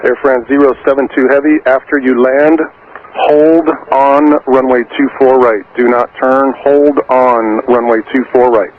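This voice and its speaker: A man's voice: medium pitch, flat delivery, a little slow. A man working as an air traffic tower controller, giving clipped procedural instructions over aviation radio. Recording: narrow tinny radio transmission, faint noise.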